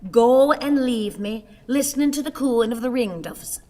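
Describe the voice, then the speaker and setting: A woman's voice: fairly high pitch, unhurried, warm tone, close to an everyday voice. A woman acting a weary, querulous, gloomy old wife in a radio play, with theatrical complaint. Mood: bitter, despondent. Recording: hissy, reverberant hall recording.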